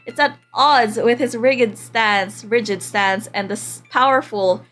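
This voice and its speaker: A woman's voice: a little high, measured pace, fairly bright tone. A woman streamer reading a visual novel aloud in a playful, dramatic way, breaking off for casual asides. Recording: music behind the voice. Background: game background music.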